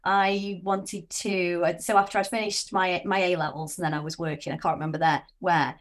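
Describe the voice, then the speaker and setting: A woman hosts a podcast, warmly affirming her guest with short responses. When she talks at length she muses aloud, circling her ideas with restarts before she lands on a question. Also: on the low side, steady tone, moderate pace. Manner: encouraging, affirming, musing aloud. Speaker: podcast host. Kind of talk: conversational podcast interview.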